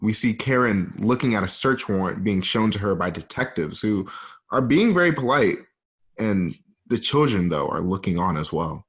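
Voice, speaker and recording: Male narrator for a script table read: fairly low voice, reading scene directions, slightly dull lo-fi home recording. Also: animated, normal speed.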